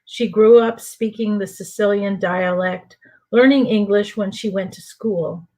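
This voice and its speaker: An older woman from New Orleans reading aloud from her own book in a measured, deliberate way for an online reading. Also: medium pitch, steady tone, warm tone. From New Orleans, USA. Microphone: home computer mic for an online reading.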